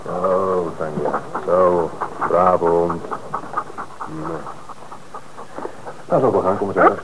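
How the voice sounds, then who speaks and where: A low-voiced police dog handler, giving firm, short commands. His deep voice comes through the clear hiss of an old radio play recording. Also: steady tone, takes it slowly.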